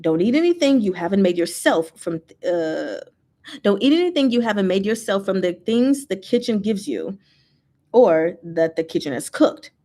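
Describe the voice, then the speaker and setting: A woman voicing a strict ghost who runs gambling den staff, brisk, firm and businesslike, in a storybook reading. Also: mid-range voice, very animated, mellow, close to an everyday voice. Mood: stern.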